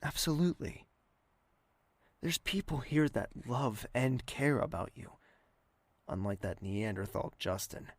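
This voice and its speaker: An actor playing a courteous, quietly caring host and narrator with a husky, measured, dry delivery in an audio drama. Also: mid-range voice, animated, no echo. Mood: concerned, soothing.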